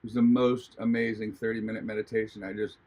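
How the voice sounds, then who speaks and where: A man from Hawaii telling a personal spiritual story in a halting, earnest way, with many restarts. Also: lowish voice, little pitch movement, a little mellow. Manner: earnest, reflective. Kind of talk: personal testimony, casual storytelling.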